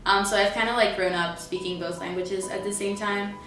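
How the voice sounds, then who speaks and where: A woman's voice: mid-range voice, steady tone, brisk, fairly bright tone. A young woman from Puerto Rico with a Puerto Rican accent and Spanish as her first language, speaking casually with lots of "like" and "um". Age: young adult. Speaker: student interviewee. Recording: echoey room from far off, music behind.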